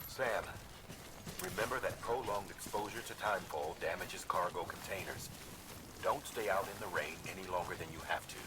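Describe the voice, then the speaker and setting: An actor playing an earnest male handler who gives a courier measured, instructive advice in a video game. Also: low-pitched, natural voice. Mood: advisory. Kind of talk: scripted video game guidance dialogue. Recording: loud noise and ambience behind.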